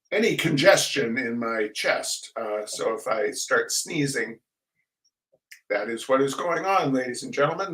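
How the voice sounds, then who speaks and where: A man's voice: medium pitch, a bit bright, distant mic, rough recording. An American man hosting casually, rambling with folksy showman's asides and repeated phrases. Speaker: host of a fundraising reading show. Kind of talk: casual rambling chat to an audience. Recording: voice some way from the mic.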